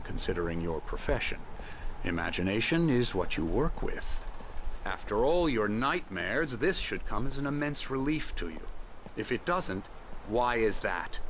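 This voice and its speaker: An actor playing a smug, self-important psychiatrist in a video game cutscene, soothing and condescending in measured phrases. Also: male voice, lowish voice. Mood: patronizing persuasion. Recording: noisy game stream capture.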